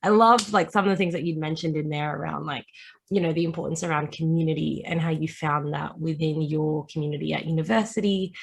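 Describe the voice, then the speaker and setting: Female podcast host, husky and low, eager chatty tone. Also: fairly even intonation, a little fast. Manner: enthusiastic, chatty with fillers like "you know". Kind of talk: casual interview hosting.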